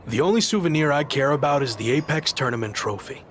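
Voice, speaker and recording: A man's voice: on the high side, lively intonation, fast talker, a bit bright, slight background noise. Determined, plainspoken male wrestler. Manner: firm, serious, plainspoken. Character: earnest, determined male wrestler, principled underdog. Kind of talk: video game cutscene acting.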